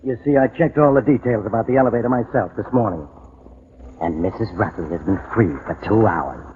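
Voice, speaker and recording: An actor playing an impatient, brusque police inspector in a 1940s radio drama. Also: male voice, lowish voice, fairly quick, noisy background, lightly acted. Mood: dismissive. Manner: brusque, dismissive. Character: gruff, impatient police inspector, middle-aged man. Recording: tinny old radio broadcast with room echo.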